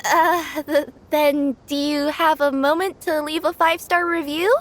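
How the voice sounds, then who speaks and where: A woman's voice: high-pitched, steady tone, a little slow. A woman voicing a cheerful young female cat-spirit courier who speaks politely and stammers when nervous. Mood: flustered. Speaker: delivery courier. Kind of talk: video game voice acting.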